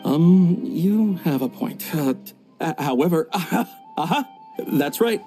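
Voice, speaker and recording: A man's voice: a little high, animated, unhurried, warm tone, faint music behind. An actor playing a flustered, evasive male tea shopkeeper in a video game, stammering nervously. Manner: stammering, nervous filler-laden speech.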